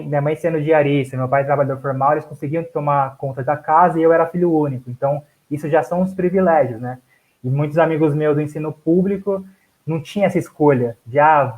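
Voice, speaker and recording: A man in his thirties telling his own story in a debate, his voice sitting a bit high. He talks casually and haltingly, often restarting his sentences. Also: phone-quality sound. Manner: hesitant, many restarts and fillers. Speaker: physics PhD student and council candidate.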